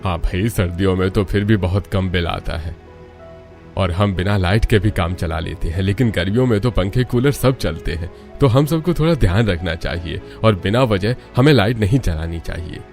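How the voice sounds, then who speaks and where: Man acting a deep-voiced thrifty household father. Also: moderate pace, darkish tone. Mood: cautioning. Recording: music under the voice.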